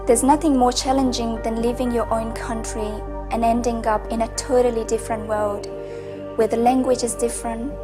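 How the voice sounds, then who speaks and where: A woman of twenty with an Afghan accent, giving an earnest, reflective personal testimonial as a student. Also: fairly high pitch. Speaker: university student telling their own story. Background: music.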